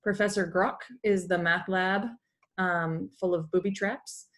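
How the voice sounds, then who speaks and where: A woman's voice: on the low side, steady tone, unhurried, a bit bright. Woman reading a math lesson aloud. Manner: explanatory, acts out dialogue, breaks off for asides. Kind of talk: educational read-aloud with informal asides.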